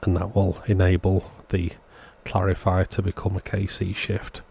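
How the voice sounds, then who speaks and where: A man's voice: sits low, monotone, moderate pace, gravelly, a little mellow, husky. A man giving an informal, matter-of-fact voice-over, explaining a hands-on repair step by step as the host. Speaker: host of a radio repair channel. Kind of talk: informal scripted-sounding voice-over narration of a repair.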